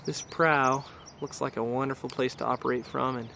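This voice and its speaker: A man's voice: medium pitch, steady tone, normal speed, creaky, bright, forceful tone. A middle-aged American man giving an enthusiastic, casual summary as an amateur radio operator vlogging. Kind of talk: casual outdoor vlog talk, summarizing. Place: outdoors in hilly backcountry. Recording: outdoor noise behind the voice.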